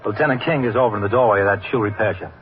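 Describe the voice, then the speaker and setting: Quick, dutiful police sergeant, played by a man. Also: on the low side, natural voice. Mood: dutiful, alert. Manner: deferential, brisk, clipped. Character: dutiful, brisk male uniformed police sergeant. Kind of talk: classic radio police drama acting. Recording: muffled, hissy old radio recording.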